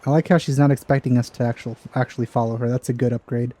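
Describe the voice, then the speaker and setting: A man's voice: mid-range voice, flat delivery, fast talker, dark tone. A man giving casual let's play commentary in offhand, dry asides. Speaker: gaming YouTuber.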